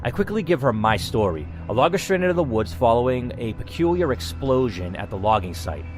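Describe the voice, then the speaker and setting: A man's voice: medium pitch, very animated, a little fast. A man reading a first-person game story aloud as a dramatic narrator. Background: music.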